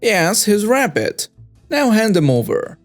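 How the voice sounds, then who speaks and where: Man doing a pushy entitled mom, high and pinched, demanding. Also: very animated, unhurried, soft background music. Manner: insistent, demanding.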